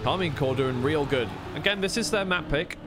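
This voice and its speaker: A man's voice: fairly high pitch, moderate pace, noisy background. A man doing live esports play-by-play commentary in a conversational way, thinking aloud as he goes.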